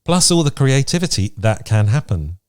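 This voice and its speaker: A man's voice: medium pitch, sing-song, moderate pace, darkish tone, clean tone, no room sound, clean studio sound. A man hosting a podcast, chatting casually in a reflective, encouraging way. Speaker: podcast host. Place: remote video chat recording.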